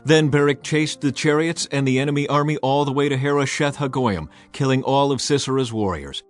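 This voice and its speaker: A man reading scripted scripture narration in a measured, solemn storytelling manner. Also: medium pitch. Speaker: narrator of a dramatized audio Bible. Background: music under the voice.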